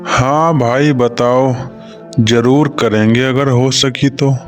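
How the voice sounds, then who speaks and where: A man's voice: medium pitch, steady tone, slow, close to an everyday voice. A man voicing a poor village farmer and husband in a moral story cartoon. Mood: friendly. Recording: music under the voice.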